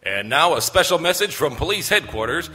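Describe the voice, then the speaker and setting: A man's voice: on the high side, sing-song, fairly quick. Man playing a grave echoing radio announcer. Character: grave radio news announcer giving police bulletin. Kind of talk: 1940s radio news bulletin acting. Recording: live stage hall reverb, loud music.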